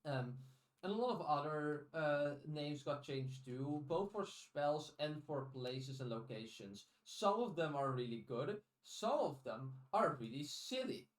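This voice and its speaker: Echoey, higher-voiced Dutch man. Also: expressive, unhurried. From Netherlands. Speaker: podcast host. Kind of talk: casual podcast chat. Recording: echoey room, distant from the mic.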